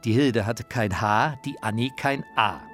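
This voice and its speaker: A man's voice: mid-range voice, measured pace, fairly bright tone, soft background music. A man narrating a radio feature in a colloquial, anecdotal storytelling manner.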